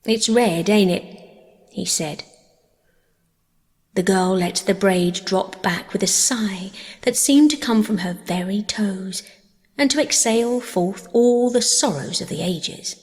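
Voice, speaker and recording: A woman's voice: mid-range voice, takes it slowly, darkish tone. Female audiobook narrator for bedtime stories; mid-range voice, scripted storytelling, hall-like reverb.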